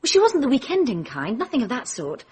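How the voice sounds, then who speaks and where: An actress playing a guarded, intelligent young British woman in a radio drama, blunt and sharp-tongued. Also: high voice, wildly swooping pitch, quick pace, slightly rough, full character voice. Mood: earnest, confiding. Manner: blunt, sardonic.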